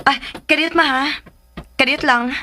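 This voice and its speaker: A woman acting an eager, stubborn young daughter in a Cebuano radio drama, with an excitable, whiny way of talking. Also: sits high, unhurried, a little hiss or hum.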